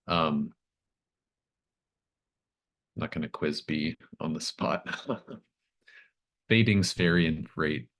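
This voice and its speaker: A man running a tabletop horror game, improvising descriptive narration in a halting, thoughtful manner. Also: rumbling low, fairly bright tone. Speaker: game master.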